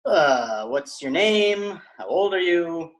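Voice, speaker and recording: A man reading the part of a quick-witted teenage boy who wants to make films, stammering and restarting. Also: on the high side, big pitch swings. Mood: hesitant. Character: quick-witted teen boy, aspiring filmmaker. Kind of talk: table read of a sitcom script.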